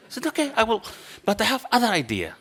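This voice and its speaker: A man's voice: high voice, huge pitch swings, fast talker, fairly bright tone. Man, middle-aged, heavy Arabic accent, panel talk, passionate storyteller, table mic. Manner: storytelling, acts out both sides of dialogue; passionate, non-native phrasing. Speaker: panel speaker. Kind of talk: anecdotal public talk. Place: dark lecture hall panel table. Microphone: table microphone.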